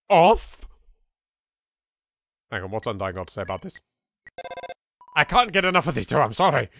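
Animated English let's-player. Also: male voice, mid-range voice, a little hiss or hum. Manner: animated, exclaiming, swearing in jest. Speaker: let's play host. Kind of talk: casual game commentary.

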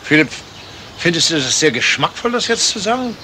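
Casting: concerned loyal gentleman, higher-set voice, echoing vintage radio play. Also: sing-song, brisk. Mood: reproachful. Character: worried, loyal gentleman friend of the detective. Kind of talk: 1970s German radio crime drama acting. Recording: roomy, noisy vintage radio recording.

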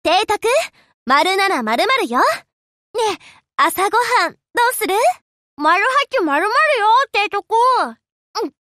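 A woman's voice: extremely high pitch, lively intonation, unhurried, pauses often, bright, forceful tone, dry recording, studio quality, heavily acted. A woman voicing a cheerful, earnest young warship girl with a girlish, perky, sweetly familiar manner in short Japanese game lines. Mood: friendly, chatty.

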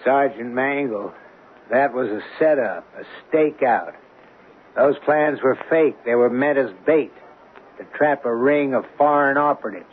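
An actor playing a grave, authoritative American security official in a 1970s radio drama. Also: male voice, mid-range voice, measured pace, husky. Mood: grave, reproving. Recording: muffled, hissy old radio broadcast.

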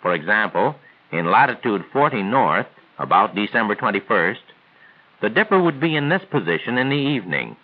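A man reads scripted 1940s training film narration in a measured, formal, instructional way. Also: fairly low pitch, very animated. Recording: tinny band-limited 1940s film soundtrack.

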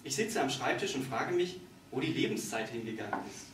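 A man's voice: mid-range voice, lively intonation, fairly quick. Man reading on stage, distant and echoey. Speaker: author and stage performer reading his own text. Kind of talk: literary live reading of a satirical monologue. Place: on stage in a small dark theatre. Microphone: distant camera in the audience. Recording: distant, echoey hall with background noise.

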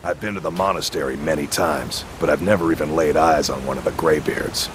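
A very deep-voiced older courier. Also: male voice, steady tone, fairly quick, noisy background. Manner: folksy, plain, good-natured. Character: older male courier, kindly and weary.

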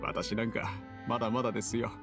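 アニメ調ゲームで、忠実で謙虚な若い補佐役を丁寧な敬語調で演じる男性。. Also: male voice, mid-range voice, level tone, a little fast, music in the background, close to an everyday voice. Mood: respectful. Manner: formal, deferential. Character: polite, loyal young male aide, humble and earnest. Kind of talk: Japanese anime game voice acting.

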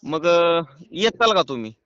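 A man with a rural western Maharashtra Marathi accent, chatting casually on a phone call in a hesitant, halting way. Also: fairly high pitch, very animated, a bit bright, no room sound. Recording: muffled phone call recording.

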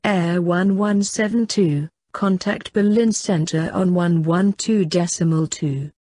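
Woman for air traffic control lesson, slightly low pitch, unhurried formulaic read. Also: fairly even intonation, mellow. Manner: measured, formulaic.

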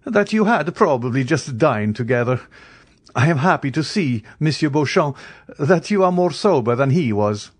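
A man's voice: mid-range voice, very animated, a little slow, voice pushed up. A man voicing a proud, mocking, coldly menacing aristocratic count in an audiobook, in a haughty, formal, declamatory manner. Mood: suave, amused.

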